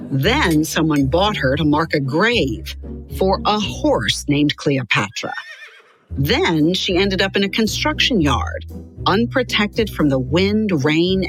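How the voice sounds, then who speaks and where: A woman reading a scripted story for children in a measured, expressive storyteller's delivery. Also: lowish voice. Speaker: podcast narrator. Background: music under the voice.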